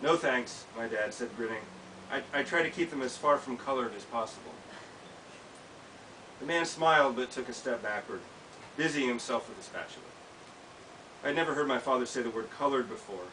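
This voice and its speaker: Bright-voiced American man reading, recorded at distance. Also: mid-range voice, moderate pace, pauses often. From United States. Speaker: author reading from own work. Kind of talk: live reading of a personal essay. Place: small bookshop event, indoors. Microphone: camera several feet away. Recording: distant mic, hissy background noise.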